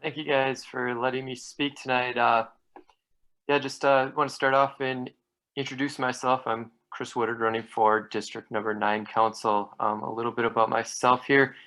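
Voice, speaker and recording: A man's voice: medium pitch, level tone, normal speed, fairly bright tone, low-quality recording. A man giving an informal campaign introduction as a council candidate, plain-spoken and conversational.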